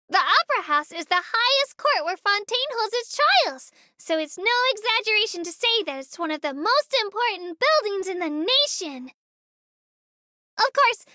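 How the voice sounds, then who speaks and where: A tiny, dutiful female creature guides tourists in a video game. The voice is extremely high and childlike, with a bright edge. The delivery is lively, earnest and polite, like a scripted announcement. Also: no room sound, studio quality, exaggerated voice. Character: cheerful, dutiful little female Melusine creature working as tour guide. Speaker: tour guide.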